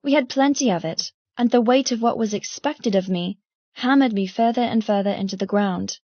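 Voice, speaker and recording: A woman's voice: fairly high pitch, normal speed, a little mellow, no room sound, dull audio. Woman narrating an audiobook, close and dry. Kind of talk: first-person dramatic scripted narration.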